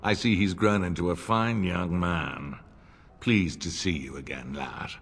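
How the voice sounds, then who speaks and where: A man playing a polite, restrained middle-aged nobleman in a fantasy game, speaking formally and courteously in a husky voice. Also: low-pitched, a little slow, fairly bright tone, heavily acted. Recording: long hall reverb, faint music.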